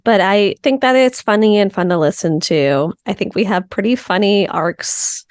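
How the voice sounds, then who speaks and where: A woman in her thirties, a comedian guest, chatting casually and upbeat in quick bursts. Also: medium pitch, dry recording, studio quality. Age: 30s.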